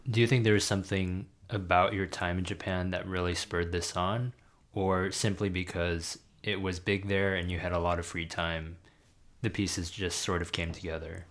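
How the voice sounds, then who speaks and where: A man's voice: sits low, level tone, normal speed, fairly bright tone. A man hosting a podcast, asking curious questions and encouraging his guest with short replies. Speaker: podcast host. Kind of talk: interview questions.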